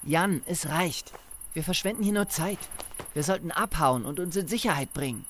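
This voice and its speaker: An actor playing a practical, cautious survivor in a German zombie audio drama. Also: male voice, on the high side, normal speed, fairly bright tone, a little hiss or hum, natural voice. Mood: impatient.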